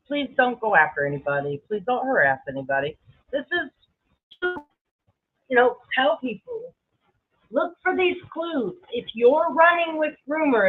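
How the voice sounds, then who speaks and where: A woman hosting a live stream, giving informal, explanatory commentary. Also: high-pitched. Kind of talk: casual live commentary on played clips. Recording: thin phone-like band-limited audio.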